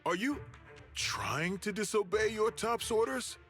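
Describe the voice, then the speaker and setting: A man's voice: sits high, animated, unhurried, fairly bright tone, faint music behind. An actor voicing a scheming, arrogant male corporate enforcer who taunts and threatens in a game cutscene. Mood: threatening. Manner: mocking, taunting.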